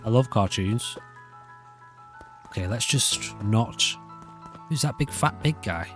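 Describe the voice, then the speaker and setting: A man's voice: medium pitch, animated, takes it slowly, over music. A man hosting a gaming channel, giving casual, rambling let's play commentary and repeating himself.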